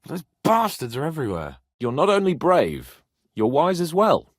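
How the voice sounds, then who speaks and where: A man's voice: on the high side, huge pitch swings, normal speed, no echo, clean studio sound, heavily acted. A man playing a haughty, hot-tempered young medieval nobleman in a video game, with a pompous, emphatic delivery. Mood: smug, lordly. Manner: pompous, lordly, emphatic, swearing.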